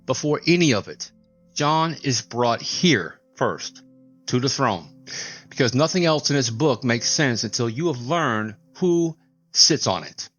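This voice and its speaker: A man with a mid-pitched voice explains scripture as a scripted, dramatic narration. His phrasing is solemn and deliberate, with emphatic pauses. Soft music plays behind him. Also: animated.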